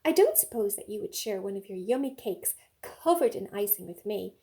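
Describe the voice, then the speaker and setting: A woman's voice: mid-range voice, lively intonation, moderate pace, mellow, natural voice. A woman voicing a polite, hopeful owl in a children's storybook, making a wheedling request.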